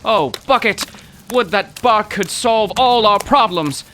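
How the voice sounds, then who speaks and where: An actor playing an excitable, wordy poetry professor in a comic mystery audio drama, florid, overwrought and prone to stammering. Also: male voice, high voice, measured pace, slight background noise, heavily acted. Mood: distraught, bewildered.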